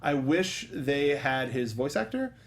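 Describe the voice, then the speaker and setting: A man's voice: medium pitch, very animated, a little slow. A man co-hosting a movie review show in casual banter, with a sarcastic, jokey manner. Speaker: podcast co-host.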